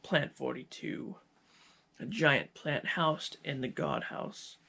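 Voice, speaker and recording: A man playing a cold, gloating scientist villain in an ASMR roleplay, speaking with deliberate, menacing pauses in a gravelly, husky voice. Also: mid-range voice, expressive, pushed and bright, exaggerated voice. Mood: proud, coldly menacing.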